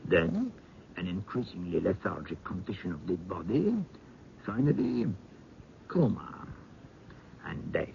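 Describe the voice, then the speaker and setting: Earnest medical examiner, low and creaky, formal halting delivery, old radio mystery drama. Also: male voice, wildly swooping pitch, a little mellow, heavily acted. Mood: clinical, grave. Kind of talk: 1970s radio drama acting. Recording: dull, noisy old radio broadcast.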